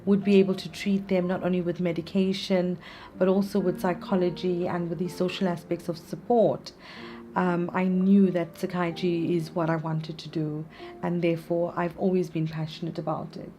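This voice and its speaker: Low, flat-voiced South African-born woman. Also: slight background noise. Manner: earnest, measured explanations. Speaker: psychiatrist guest. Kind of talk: interview answers.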